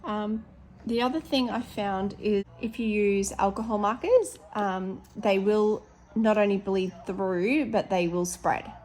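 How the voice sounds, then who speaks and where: An Australian woman, a teacher with a slightly hoarse voice, chatting casually with lots of ums as she shows her colouring pages. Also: mid-range voice, unhurried, a little mellow. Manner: chatty, many ums, corrects herself. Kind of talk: casual flip-through chat.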